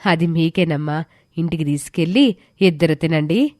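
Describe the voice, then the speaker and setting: A woman's voice: sits low, moderate pace, a little mellow, dry recording, clean studio sound, pitched below the performer's own voice, close to an everyday voice. A woman voicing a kindly, insistent village aunt with a motherly, coaxing manner in a moral story. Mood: caring, insistent.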